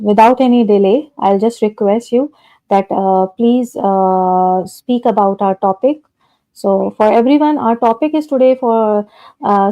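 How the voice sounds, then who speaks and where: A woman's voice: medium pitch, warm tone. A woman hosting a live stream, speaking politely and hesitantly with many fillers over a video call. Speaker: host.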